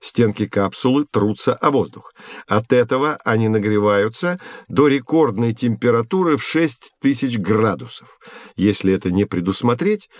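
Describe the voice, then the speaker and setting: A man's voice: medium pitch, animated, no echo, close to an everyday voice. Man playing a patient science mentor, dry studio sound. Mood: instructive. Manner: explanatory, measured, didactic. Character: patient, learned adult science mentor Vladimir Sergeyevich. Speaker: teacher figure. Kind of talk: scripted educational audio drama.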